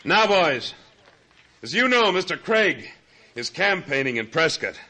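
A man's voice: fairly high pitch, big pitch swings, a little slow, heavy background noise. An actor playing a steadfast, commanding foreman in a radio western, with a firm, challenging way of speaking. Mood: rousing. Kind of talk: old-time radio western acting.

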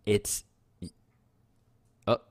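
A man's voice: very slow, darkish tone, dry recording. A young man co-hosting a podcast, telling loose, rambling stories full of fillers and swearing, with a voice that sounds youthful. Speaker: podcast co-host. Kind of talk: casual chat.

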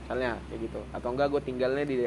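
A man's voice: mid-range voice, fast talker, background noise. A young man from Papua co-hosting a casual podcast, talking in slangy street speech. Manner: slangy, animated when mimicking accents. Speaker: podcast co-host. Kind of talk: casual chat.

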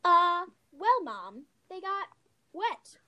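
High voice, swooping intonation, woman acting as dramatic young schoolgirl, spirited, amateur audio play. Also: sing-song, drawn-out words, pushed and bright, dull audio, heavily acted. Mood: sheepish, evasive. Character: Molly, indignant wartime American schoolgirl, vengeful, dramatic. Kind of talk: amateur reader's theater podcast.

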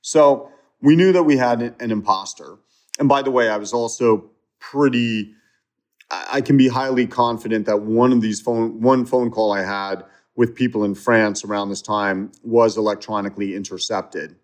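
A man's voice: lowish voice, moderate pace. A man speaking as an interview guest, retelling a story in a casual, conversational way with frequent fillers and restarts.

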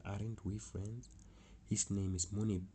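A man's voice: fairly low pitch, flat delivery, measured pace, warm tone, slight background noise. A young man from South Africa with a South African accent, telling a rambling travel story in a casual way. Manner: rambling, restarts often, chuckles. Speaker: travel vlogger.

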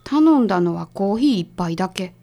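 A woman playing a brisk, sharp detective's assistant in a radio drama, speaking casually and decisively with a Kansai accent. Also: medium pitch, lively intonation, vocal fry. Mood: brisk, curious.

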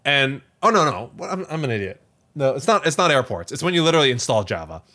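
A man's voice: mid-range voice, fast. A man co-hosting a podcast in casual chat about tech and entertainment, rambling and often correcting himself. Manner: animated, rambling, self-correcting. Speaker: podcast co-host.

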